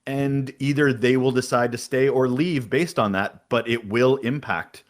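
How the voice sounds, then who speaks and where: An outspoken man answering interview questions on a podcast. His voice is mid-pitched and carries, and he talks with energetic emphasis. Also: a little slow. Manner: energetic, emphatic, talks about having a big voice. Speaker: podcast guest.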